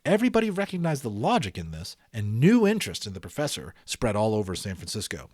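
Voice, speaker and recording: A man's voice: mid-range voice, no echo, clean studio sound. A man narrating a children's audiobook expressively, with dramatic emphasis and chatty asides to listeners.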